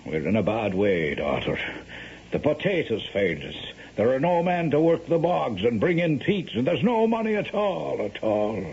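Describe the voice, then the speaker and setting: An actor playing a stern, hot-tempered Irish gentleman father in a 1970s radio drama, blustering with an Irish accent. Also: medium pitch, very animated, normal speed, vocal fry, slightly rough, full character voice. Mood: troubled, stern. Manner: blustering, emphatic. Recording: dull, hissy vintage radio recording.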